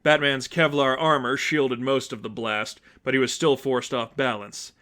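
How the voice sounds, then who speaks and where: A man reading scripted story narration in a dramatic, deliberate manner. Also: mid-range voice, fairly even intonation, bright, forceful tone. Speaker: fan fiction reader, narrator.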